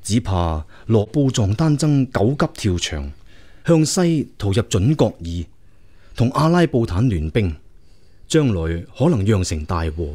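A man's voice: on the low side, very animated, unhurried, warm tone. A man playing a loyal prince, the emperor's younger brother, advising him earnestly and deferentially in a radio drama. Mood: earnest, reporting. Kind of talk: Cantonese radio drama acting.

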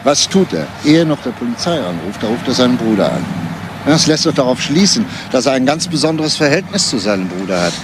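A man's voice: mid-range voice, sing-song, a little fast, noisy background, natural voice. A male actor playing a composed police detective in a German crime radio play, questioning in a methodical, matter-of-fact way. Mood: reflective, probing.

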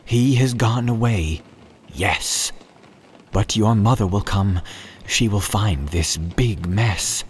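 A man's voice: on the low side, slow, slight background noise. A man voicing an anxious, scolding pet fish in a storybook, fretful and urgent. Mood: worried. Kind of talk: storybook read-aloud.

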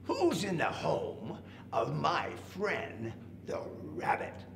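A man voicing a small plucky frog in a children's story, croaky and drawn-out, with sly confidence. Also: a little high, huge pitch swings, full character voice. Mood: sly, confident. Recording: echoey room, faint background noise.

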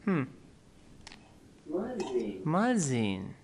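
A man's voice: fairly high pitch, huge pitch swings, a little hiss or hum, heavily acted. An actor playing a hesitant, meticulous lab scientist in his thirties, searching for his words in video game dialogue. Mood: pondering, unsure. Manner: halting, deliberating, searching for words. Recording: loud music behind the voice. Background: loud game music.

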